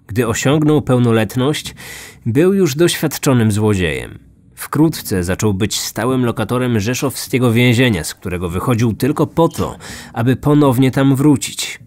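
A man's voice: mid-range voice, lively intonation, measured pace, a little mellow. A man in his thirties hosting a true crime podcast with scripted narration. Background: music.

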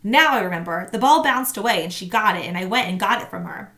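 A woman reading aloud, voicing a nervous schoolboy who dodges questions, in a raised, higher voice. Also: lively intonation, fast, a bit bright, reverberant. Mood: defensive. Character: nervous schoolboy, defensive and evasive.

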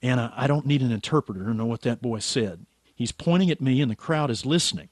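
An actor playing a naive, stubborn, wry amateur sleuth in a radio drama. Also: male voice, medium pitch, expressive, quick pace, no echo, clean studio sound, close to an everyday voice. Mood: alarmed.

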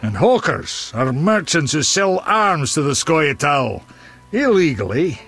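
An actor playing a gruff, hearty dwarf warrior in a fantasy game, raspy, blunt and familiar. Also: male voice, a little high, big pitch swings, slow, fairly bright tone, faint music behind, heavily acted. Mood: frank, friendly. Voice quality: gravelly, raspy. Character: gruff, hearty male dwarf warrior, loyal old pal. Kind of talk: fantasy video game dialogue.

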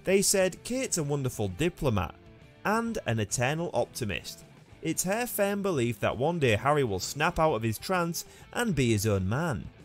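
A man's voice: fairly high pitch, big pitch swings, moderate pace. A man reading scripted news narration in a formal, read-aloud manner. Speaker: narrator of a royal news channel. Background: background music.

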